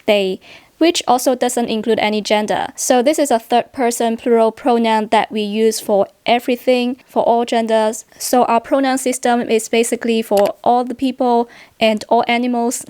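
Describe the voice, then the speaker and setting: A woman from Hong Kong, a native Cantonese speaker with a Hong Kong accent, talking to camera in a measured, deliberately careful way. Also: on the high side, fairly even intonation, darkish tone, smooth, dry recording. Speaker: vlogger. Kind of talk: personal vlog talk to camera.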